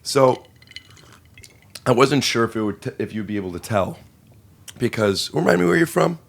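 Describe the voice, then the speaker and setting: A man hosting a podcast in casual comedic chat, playful and teasing, his voice a little raspy and rough after being sick. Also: mid-range voice, very animated, normal speed. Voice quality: slightly raspy. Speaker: podcast host.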